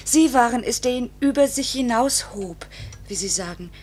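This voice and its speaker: An anguished young wife, high-voiced. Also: female voice, expressive, moderate pace, darkish tone. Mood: bitter, pleading. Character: anguished young wife of a missing pilot, pleading, accusing. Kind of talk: 1950s German radio drama acting. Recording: hissy 1950s radio recording, faint hum.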